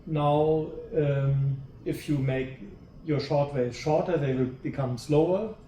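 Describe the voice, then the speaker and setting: A man with a dark, relaxed tone speaks from some distance in an echoing room. He is a researcher in the audience, reasoning hesitantly through a long question and repeating words as he goes. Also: fairly high pitch, slow. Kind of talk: academic discussion, long spontaneous question. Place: seminar room, away from the mic. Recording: echoey, off-mic with faint noise.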